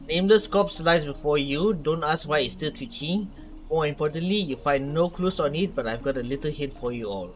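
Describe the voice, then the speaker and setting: A male gaming streamer with a slightly high voice reads story text aloud. His delivery is theatrical and dramatic, as if narrating a play. Game music runs behind him. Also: a little fast. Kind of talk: reading game dialogue aloud while reacting.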